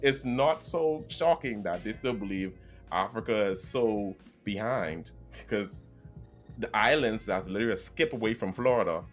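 Man, Bahamian accent, lively swings in pitch, lowish voice, podcast host, faint music. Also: normal speed. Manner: animated, laughs while talking. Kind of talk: casual podcast chat.

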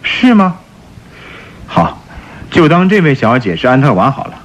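An actor playing a stern, suspicious and stubborn police chief in a radio drama, questioning insistently in a husky voice. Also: male voice, medium pitch, very animated, normal speed. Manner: probing, insistent, theatrical questioning.

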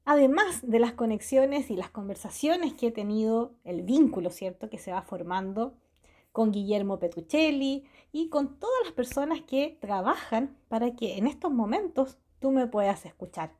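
A woman's voice: on the high side, animated, normal speed, darkish tone. Upbeat Chilean woman hosting a radio show. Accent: Chilean Spanish. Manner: upbeat, frequent laughs, tag questions. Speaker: radio host. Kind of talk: warm spiritual radio monologue. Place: small house in a hillside forest.

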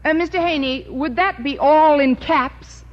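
An actress playing a wisecracking English teacher in a 1950s radio sitcom, with a dry, sardonic delivery on a muffled old broadcast recording. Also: female voice, sits high, a little slow, over loud music. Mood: wry. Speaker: schoolteacher lead in radio sitcom.

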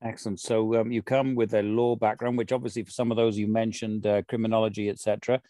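A man's voice: on the low side, level tone, fast talker, warm tone, no room sound, studio quality. A man with a Welsh accent interviewing a guest in a chatty, meandering way with lots of fillers. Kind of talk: conversational interview.

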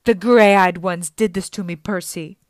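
A woman's voice: on the low side, fairly even intonation, unhurried, no room sound, clean studio sound, lightly acted. A woman voicing a coaxing, sinister old female monster. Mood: wronged.

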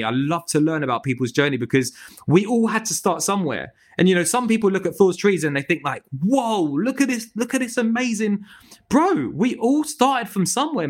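A man, a tree surgery business owner, chatting casually on a podcast with restarts and swearing. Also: fairly high pitch. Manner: animated, swears freely, lots of restarts.

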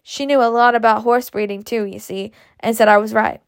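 A blunt and boastful storybook matron of the old South, read out by a woman. The voice is on the high side with some creak at the edges, and it talks on emphatically, proud and stubborn, very close and dry. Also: steady tone, brisk, no room sound, close to an everyday voice. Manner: emphatic, talkative.

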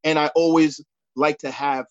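Higher-voiced man, halting, dull lo-fi sound. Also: flat delivery, no echo. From United States. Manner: halting, repeats words and phrases. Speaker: podcast guest, sports referee. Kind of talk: casual conversational interview.

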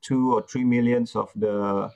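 Comedian, halting joking English. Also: male voice, medium pitch, steady tone, creaky, a little mellow. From Indonesia. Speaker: guest comedian. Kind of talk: casual podcast chat.

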